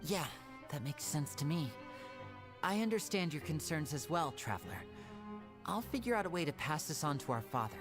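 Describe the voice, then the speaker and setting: Actor doing a smart young guy, calm and articulate, anime game dialogue with music behind. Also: medium pitch, natural voice. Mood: warm, earnest. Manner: measured, articulate, analytical. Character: composed, clever young male stage magician, protective brother.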